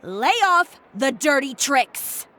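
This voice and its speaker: A woman playing a sharp-tongued, haughty but protective young woman in an anime game, composed and sardonic. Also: high-pitched, animated, slow, a little hiss or hum, exaggerated voice. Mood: scornful.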